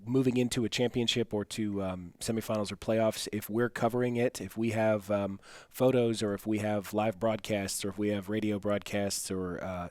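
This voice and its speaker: Male media director, informal briefing. Lowish pitch, dry sound, plain and slightly halting delivery. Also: darkish tone, no echo. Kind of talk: informal explanatory briefing on a conference call.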